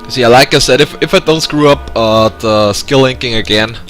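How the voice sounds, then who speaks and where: A man's voice: mid-range voice, normal speed, fairly bright tone. A man with a German accent, a native German speaker, chatting casually with viewers while playing a video game, rambling and restarting his sentences. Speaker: speedrunner. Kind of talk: casual live-stream commentary over gameplay. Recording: faint game music behind.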